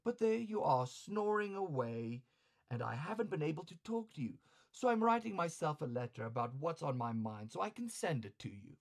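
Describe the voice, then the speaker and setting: A man voicing a wily woman courtesan in a comic story, soft-spoken, coaxing and chiding with reproach. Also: fairly high pitch, very animated, normal speed, voice pushed up. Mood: exasperated.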